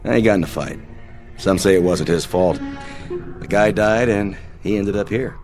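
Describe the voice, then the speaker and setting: An actor playing a seasoned spaceship captain, firm but compassionate, in an audio drama. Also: male voice, fairly low pitch, natural voice. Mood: reflective. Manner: terse commands, measured explanations. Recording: music bed under the voice.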